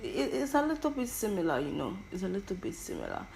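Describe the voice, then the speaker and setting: A woman's voice: lowish voice, wildly swooping pitch, fast talker, vocal fry, a little mellow, slight background noise. A young Nigerian woman with an Igbo accent, whose first language is Igbo. She hosts a podcast and talks through her thoughts in a personal monologue, often stammering and repeating words. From Igbo land, Nigeria. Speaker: podcast host.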